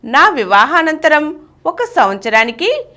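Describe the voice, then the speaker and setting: A woman's voice: high voice, very animated, measured pace, sharp tone. A woman reading a first-person memoir aloud from a script. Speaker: reader of a memoir.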